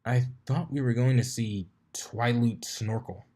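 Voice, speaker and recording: A man's voice: mid-range voice, fairly even intonation, a little slow, dark tone, voice pushed up, natural voice. A man voicing a cocky, blunt young male pegasus in a cartoon fan story. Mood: puzzled.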